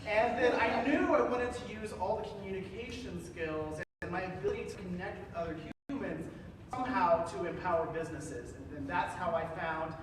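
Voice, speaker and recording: A man in his thirties with a loud voice, telling his story on a career panel like the former actor he is. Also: sits high, moderate pace. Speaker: marketing director on a career panel. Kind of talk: panel introduction. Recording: echoey hall from far off, noisy.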